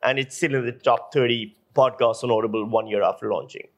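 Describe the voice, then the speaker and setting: Male interview guest, journalist and lecturer from Pakistan. Mid-pitched voice, emphatic, anecdotal explaining. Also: steady tone, a little fast.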